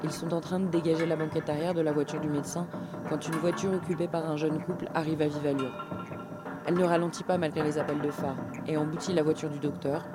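A woman's voice: deep voice, level tone, quick pace. A woman reading a scripted dramatic story as a radio narrator, with music behind her. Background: loud music.

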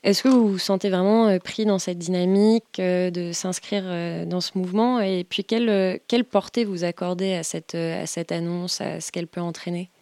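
A woman co-hosting a radio interview, asking a long question in a measured way with small fillers. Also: on the low side, steady tone, a little mellow, dry recording. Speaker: radio co-host.

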